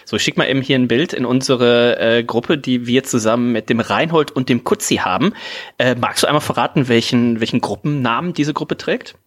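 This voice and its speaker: A man co-hosting a podcast, chatting casually and telling stories in a lively way. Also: mid-range voice, brisk. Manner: chatty, storytelling. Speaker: podcast co-host. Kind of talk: casual chat. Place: hotel room abroad.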